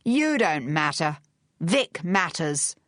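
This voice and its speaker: A woman voicing a deranged, frail, bitter man bent on revenge in an audiobook, snarling in furious, breathy rushes. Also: fairly high pitch, sing-song, a bit bright, no echo, studio quality, heavily acted. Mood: snarling fury.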